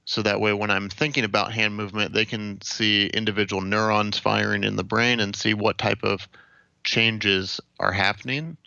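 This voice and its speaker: An American man in his late twenties giving a measured, matter-of-fact account as an interview guest. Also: lowish voice, level tone, gravelly, sharp tone, smooth, no room sound, highs cut off. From Ohio, United States. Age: late 20s. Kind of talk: recounting personal experience in an interview.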